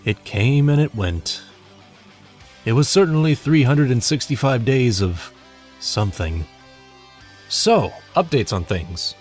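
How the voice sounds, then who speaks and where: A man's voice: mid-range voice, lively intonation, slow, long pauses, faint music behind. A young man giving a casual but scripted channel update in a wry, self-deprecating, sweary way. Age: young adult. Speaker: hobby narrator and audio play director giving a channel update.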